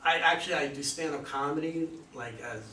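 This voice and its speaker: Male playwright, slightly high bright voice, unsure and self-effacing, fielding audience questions informally. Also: fairly quick. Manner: hesitant, self-deprecating. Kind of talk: informal question-and-answer after a play reading. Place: room at a live play reading. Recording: roomy distant mic, faint noise.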